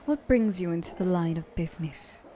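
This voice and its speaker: Woman playing a mellow, flirtatious ancient vampire lady. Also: on the low side, animated, moderate pace, a little hiss or hum, lightly acted. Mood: coy, teasing. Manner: breathy asides, lapses into whispering, formal genteel phrasing. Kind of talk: ASMR roleplay, intimate monologue to listener.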